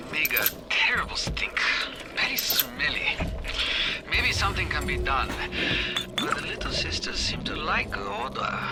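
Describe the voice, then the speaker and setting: Over a strong music bed comes a cynical, greedy male scientist. His voice is fairly high and swings wildly in pitch. He dictates his game audio diary in clipped, broken English with an abrasive edge. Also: full character voice. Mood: brooding, sly. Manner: clipped broken English, dropping articles and verbs. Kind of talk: video game acting, recorded diary monologue. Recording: music playing behind the voice.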